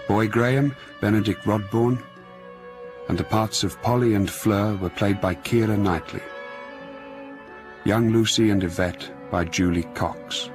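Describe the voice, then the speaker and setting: A man reading a scripted radio announcement in a formal, measured manner. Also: on the low side, steady tone. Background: music with violin.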